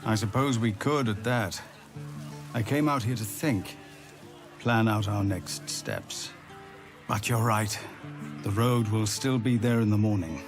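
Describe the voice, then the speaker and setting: A man playing a gracious, thoughtful leader in a fantasy video game, speaking in a measured, formal and courteous way. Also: lowish voice, music in the background, close to an everyday voice. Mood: warm, reflective. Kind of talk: video game cutscene acting.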